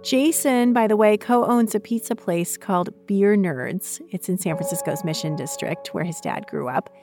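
A woman's voice: mid-range voice, normal speed, a little mellow, soft background music. A woman hosting radio, reading scripted narration into a close studio microphone. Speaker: radio host.

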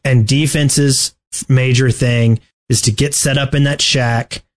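A man hosting a podcast, casually discussing video game strategy in a halting, deliberate way. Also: medium pitch, fairly even intonation. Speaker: podcast host.

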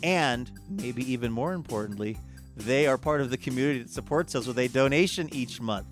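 A man's voice: mid-range voice, fairly quick. A male radio show host announcing upbeat and friendly in front of a live theater audience. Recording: live theater broadcast with music and crowd.

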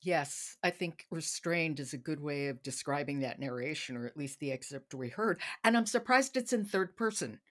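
A woman's voice: low voice, expressive, moderate pace, hardly any pauses. A woman hosting a podcast, interviewing her guest in a conversational way. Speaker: podcast host.

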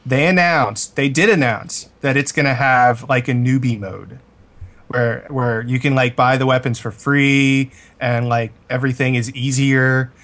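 A man's voice: medium pitch, steady tone, unhurried, fairly bright tone. An American man co-hosting a podcast with casual, wisecracking chat. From Alabama, United States. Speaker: podcast co-host.

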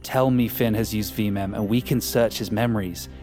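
An actor playing an excitable, scheming scientist in an audio drama, fervent, persuasive and foul-mouthed. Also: male voice, lowish voice, normal speed, faint music behind, lightly acted. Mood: excited, urgent. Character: excitable scheming male scientist, profane and visionary.

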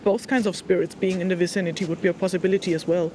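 A woman's voice: lowish voice, steady tone, rapid-fire, background noise, lightly acted. A woman playing a young spirit hunter who thinks aloud hesitantly, with dry teasing, in an audio drama field log. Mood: musing, uncertain.

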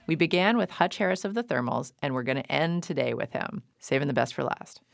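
A woman's voice: deep voice, lively intonation, a little fast, gravelly, dry recording. A woman hosting an interview show about the music business, asking her guest friendly questions. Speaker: radio show host. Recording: dry studio with faint music.

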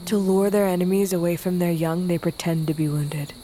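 An actress playing a wounded, distrustful outcast in an audio drama, speaking with weary, bitter defiance. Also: female voice, fairly low pitch, steady tone, fairly quick, mellow, a little hiss or hum, lightly acted. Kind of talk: audio fiction drama acting. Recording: faint outdoor insect ambience.

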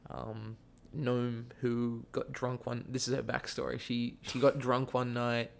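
Creaky-voiced man with a soft Australian accent. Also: mid-range voice, completely flat delivery, measured pace, slight background noise. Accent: Australian, softened. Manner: rambling, with many fillers and restarts. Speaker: guest, actor and school theatre teacher. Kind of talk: casual podcast chat.

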